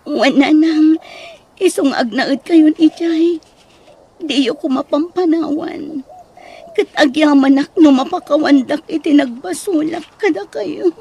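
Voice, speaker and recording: An actress playing a remorseful grown daughter in an Ilocano radio drama, pleading and emotive, with an Ilocano accent. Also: female voice, high-pitched, measured pace, mellow. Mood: grateful, pleading. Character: remorseful, pleading grown child seeking parents' forgiveness.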